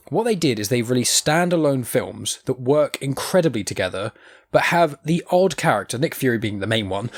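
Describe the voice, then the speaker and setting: A man talks at a rapid clip in loose podcast conversation, piling up asides and backtracking as he goes. His voice sits at an ordinary middle pitch, and he drops in casual swearing. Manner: rambling and animated, swears, quick asides. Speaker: podcast co-host. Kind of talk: casual chat.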